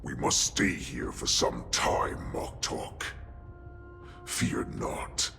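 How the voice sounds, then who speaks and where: An actor playing an ancient, cruel beast warlord in a video game, growling with imperious, menacing contempt. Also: male voice, rumbling low, expressive, drawn-out words, exaggerated voice. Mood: ominous resolve. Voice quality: guttural, growling, gravelly. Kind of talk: dramatic video game villain acting. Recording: loud music behind voice.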